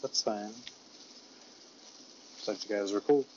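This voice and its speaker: A man playing a deadpan male ghost-tour guide who talks low and quietly, rambling with lots of fillers. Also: slow pace, dull audio, a little hiss or hum.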